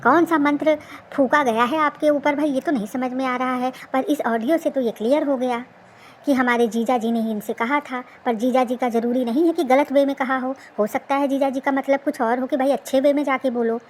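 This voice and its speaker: A woman's voice: high-pitched, steady tone, a little fast, a little hiss or hum. A woman giving casual, colloquial gossip commentary in a teasing, exclamatory way with lots of rhetorical questions. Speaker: reaction video host. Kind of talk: casual gossip commentary on a social media dispute.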